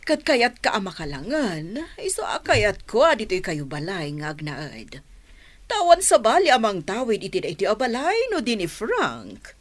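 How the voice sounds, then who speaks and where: A woman's voice: medium pitch, wildly swooping pitch, moderate pace. A woman acting as an approving older mother of a grown son in a radio drama. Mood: decided, pleased.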